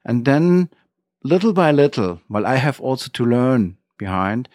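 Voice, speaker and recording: A middle-aged man with a German accent, telling his story as an interview guest in halting, non-native English phrasing. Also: mid-range voice, very animated, darkish tone, dry recording, studio quality.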